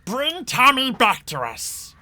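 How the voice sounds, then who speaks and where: A flustered goblin chief played by a man in a tabletop fantasy game. He is bossy yet scatterbrained, with a sharp, bright voice that swings widely in pitch as he fumbles through orders. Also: huge pitch swings, exaggerated voice. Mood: pleading. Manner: halting, muddled commands.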